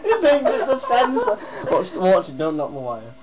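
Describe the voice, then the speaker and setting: Young British male prankster, high swooping voice, casual running commentary, noisy humming recording. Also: wildly swooping pitch, moderate pace. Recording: noisy amateur recording with mains hum.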